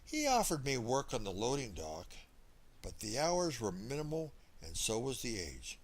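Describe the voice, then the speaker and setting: A man's voice: mid-range voice, huge pitch swings, normal speed. A man narrating a first-person memoir as an audiobook reader, telling the story from a script.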